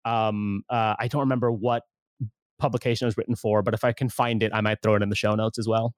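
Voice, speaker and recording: A man's voice: lowish voice, little pitch movement, fast talker, no room sound, studio quality. A man hosting a casual podcast, chatting loosely with plenty of fillers and restarts. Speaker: podcast host.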